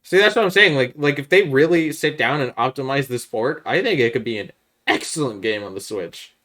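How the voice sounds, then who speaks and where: Guy podcast host, middle pitched voice, casual gaming chat, kinda opinionated. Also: lively intonation, fairly quick, a bit bright.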